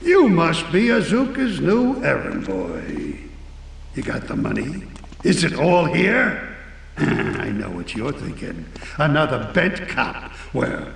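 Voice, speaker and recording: A gravelly crooked cop. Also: male voice, on the high side, a bit bright, echoey room, big-room sound, distant mic, heavily acted. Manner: gruff, sneering, streetwise. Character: corrupt, cynical middle-aged male cop.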